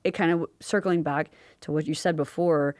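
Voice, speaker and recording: A woman's voice: deep voice, fairly even intonation, normal speed, a little mellow, dry recording, studio quality. A woman hosting a podcast, chatting casually with her guest in an effusive, affirming way. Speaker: podcast host interviewing a guest.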